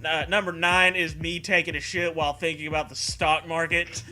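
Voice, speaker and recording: A man with a high, very sharp and forceful voice riffs as a comedy co-host. He is sardonic and crude, swearing freely in casual banter. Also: flat delivery, normal speed. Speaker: co-host commenting on the story.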